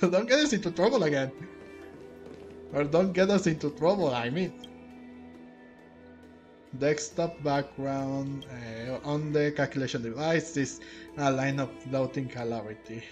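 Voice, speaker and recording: A man streaming a video game, commenting casually and reading in-game text aloud. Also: on the high side. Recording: game music faintly behind.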